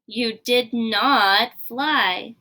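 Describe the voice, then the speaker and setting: A woman's voice: on the high side, very slow, bright, forceful tone, full character voice. A woman voicing a cautious, doubtful elephant friend in a children's storybook reading, speaking firmly and matter-of-factly.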